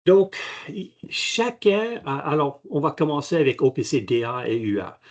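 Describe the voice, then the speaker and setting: A man, a native French speaker, answering technical questions on a webinar in a halting way with many restarts. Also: fairly high pitch, very animated, muffled recording. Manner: halting, frequent restarts and fillers. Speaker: company chairman, guest expert. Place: video call.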